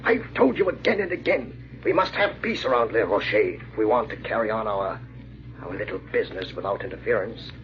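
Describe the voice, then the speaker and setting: An actor playing a ruthless, smug bandit leader and husband in an old-time radio drama, mocking and commanding. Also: male voice, on the low side, animated, brisk. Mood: irritated, smug. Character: ruthless, smug husband secretly leading a murderous bandit gang. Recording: muffled, noisy vintage radio recording.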